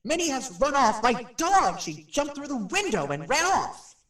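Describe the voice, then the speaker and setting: A man's voice: very high voice, big pitch swings, normal speed, fairly bright tone, exaggerated voice. A man playing a chatty, nervous radio personality who addresses his listeners in a folksy way in a radio play. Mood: agitated. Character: jumpy, chatty radio showman touring a haunted house. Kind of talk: radio drama acting. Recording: roomy, slightly distant microphone.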